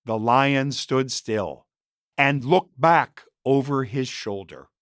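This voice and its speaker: A man reading a story as a narrator, in a measured and expressive scripted style. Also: medium pitch, dry recording, clean studio sound.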